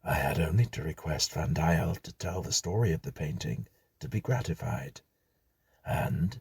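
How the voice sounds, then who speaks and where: A man reading a gothic story as an audiobook narrator, in a gravelly, husky voice with a measured, dramatic, formal literary delivery. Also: low-pitched, a little mellow, no echo.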